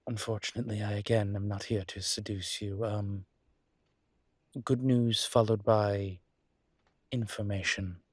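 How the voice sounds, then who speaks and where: Man playing a husky, suave demon professor. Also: on the low side, little pitch movement, no room sound, studio quality. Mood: measured, explanatory. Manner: courtly, teasing, hesitant pauses, formal wording. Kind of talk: intimate audio roleplay addressed to listener.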